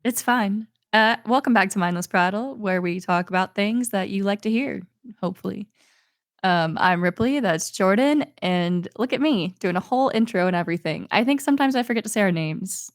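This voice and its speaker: A woman's voice: mid-range voice, moderate pace, no room sound, clean studio sound. A young woman, co-hosting a podcast, chatty and laughing often as she tells stories. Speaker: podcast co-host. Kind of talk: casual chat.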